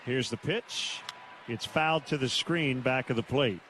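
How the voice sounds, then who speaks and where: A man calling live baseball on the radio in clipped, measured phrases with pauses between plays. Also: mid-range voice, fairly bright tone, a faint crowd. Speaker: baseball play-by-play announcer. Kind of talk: live radio play-by-play commentary. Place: stadium broadcast booth. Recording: noisy stadium radio broadcast.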